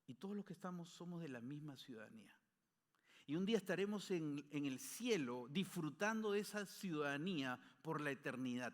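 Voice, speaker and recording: A man preaching a sermon as pastor, earnest, his voice rising on declarations and dropping soft at the ends of phrases. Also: sits high. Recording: long hall reverb.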